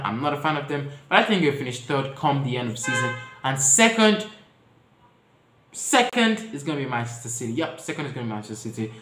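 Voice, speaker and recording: A man chats through his opinions in a casual vlog and talks straight to his viewers. His voice sits a little higher than most men's. The sound bounces around an echoey room. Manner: chatty, addresses viewers directly, shifts pitch and loudness often. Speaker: football vlogger.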